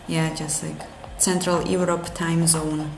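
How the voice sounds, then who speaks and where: A woman from Russia with a Russian accent, a game engine developer who thinks aloud on a live coding stream and answers chat in halting, self-correcting English. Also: deep voice, little pitch movement, noisy background. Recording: roomy with music playing behind.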